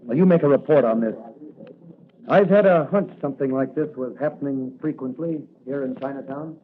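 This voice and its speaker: A man plays an upright young American cop with a mid-pitched voice and a resolute, earnest delivery. It has the feel of a 1940s radio serial, with a narrow tinny sound and faint noise. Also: normal speed, natural voice. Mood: concerned. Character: earnest, upright young rookie police officer hero. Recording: tinny, noisy old radio recording.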